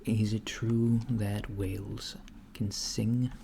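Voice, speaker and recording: A man doing a soft-spoken ASMR roleplay as a curious, puzzled alien interviewer, speaking in a deliberate, halting, deadpan way. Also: on the low side. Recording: clear background noise, keyboard typing. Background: computer keyboard typing.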